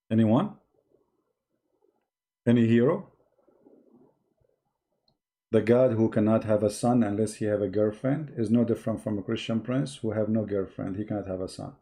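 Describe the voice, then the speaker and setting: A man's voice: fairly low pitch, unhurried, long pauses, dark tone. A man hosting a live religious call-in debate, pressing his points with insistent, repeated questions in non-native English.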